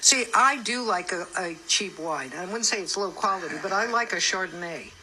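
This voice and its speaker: A woman radio co-host with a bright, forward voice talks in a chatty, joking way. It is casual live interview chat, with faint noise in the recording. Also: mid-range voice, lively intonation, normal speed, poor audio. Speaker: morning radio show co-host.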